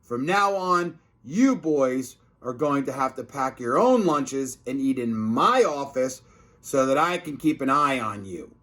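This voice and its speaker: A man's voice: medium pitch, expressive, a little slow, a bit bright. A man voicing a stern, punitive male school principal in a children's storybook, with an emphatic, bossy manner. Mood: stern, accusing.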